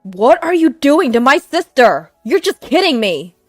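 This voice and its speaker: A woman voicing a furious, protective older brother who shouts in outrage. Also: high-pitched, very animated, unhurried, no room sound, clean studio sound, faint music behind, pitched above the performer's own voice, full character voice.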